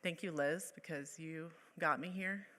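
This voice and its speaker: A woman's voice: low-pitched, measured pace, fairly bright tone. A woman from Colorado giving a casual, chatty artist lecture, with many ums and restarts. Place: lecture hall. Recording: echoey hall with long reverb.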